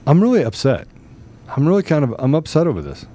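A man's voice: fairly high pitch, wildly swooping pitch, fast, warm tone. A man hosting a live political talk show in a casual style, with a habit of repeating phrases for emphasis. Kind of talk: casual live talk, sometimes reading questions aloud.

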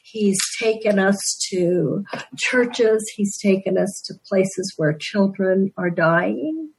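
A woman's voice: mid-range voice, animated, vocal fry, husky, low-quality recording. A woman in her sixties from Denver, telling her story earnestly as a podcast guest. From Denver, Colorado. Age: 60s. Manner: earnest storytelling, quick laughs. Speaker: guest, author and Christmas elf. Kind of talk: conversational podcast interview.

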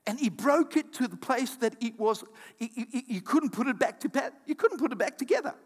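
A man preaches to his congregation in a high voice that leaps up and down with energy. He calls on the crowd to repeat words and drops in quick asides as he goes. His voice rings with a long reverb through the hall. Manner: animated preaching with audience call-outs and asides. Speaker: pastor. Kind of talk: sermon.

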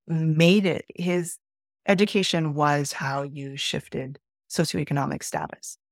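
A woman's voice: low voice, takes it slowly, no room sound, clean studio sound. A middle-aged woman from Peru, a podcast guest, telling a thoughtful personal story with frequent restarts. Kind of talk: reflective conversational storytelling.

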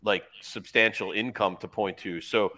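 A man's voice: lowish voice, steady tone, moderate pace, bright, forceful tone. A man co-hosting a podcast, chatting casually and telling anecdotes in a wry, teasing way.

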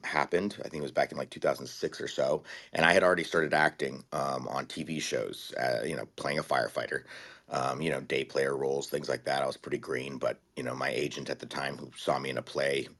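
Man with a very deep voice. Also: a bit bright. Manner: laid-back, rambling with many fillers and restarts. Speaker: guest, writer-actor-producer. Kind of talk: casual interview storytelling.

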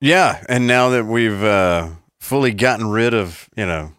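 A man's voice: on the low side, lively intonation, measured pace, fairly bright tone, smooth, no echo, studio quality. A man co-hosting a casual podcast, rambling and profane, laughing often. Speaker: podcast co-host.

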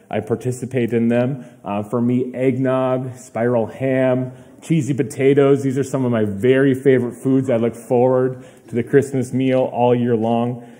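Young male pastor, a bit hoarse, dark mellow voice, easygoing conversational sermon. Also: mid-range voice. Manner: casual, chatty preaching with fillers and asides. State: slightly hoarse.

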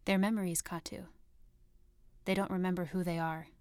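An actress as a gentle, wistful woman of a fantasy tale, speaking softly and earnestly. Her voice sits a little low and sounds close and dry. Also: level tone, moderate pace, dry recording, lightly acted. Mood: sombre, hushed. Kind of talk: fantasy audio story acting.

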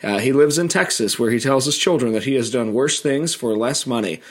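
A man's voice: medium pitch, fairly quick. A middle-aged American man hosting an educational interview, chatty, with fillers and restarts. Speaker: podcast host.

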